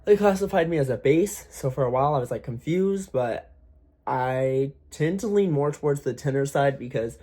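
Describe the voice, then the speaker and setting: A young man chatting candidly to camera about his singing plans, talking in a deliberately raised, slightly forced voice, swearing freely and using lots of fillers. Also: medium pitch, lively intonation, dark tone. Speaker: aspiring singer vlogger. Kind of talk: casual talk to camera.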